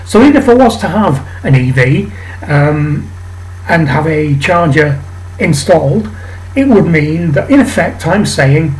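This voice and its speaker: A man from England with a raspy voice today, hosting a rambling casual monologue to camera with many ums and restarts. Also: a little high, big pitch swings, a little slow, darkish tone, low-quality recording. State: raspy voice, coughing. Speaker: channel host. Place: home flat.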